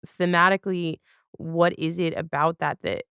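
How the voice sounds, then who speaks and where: A man's voice: a little high, fairly even intonation, moderate pace, sharp tone, clean tone, no echo, studio quality. A man from Los Angeles hosting a casual podcast interview, thoughtful and circling around his phrasing. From Los Angeles, United States.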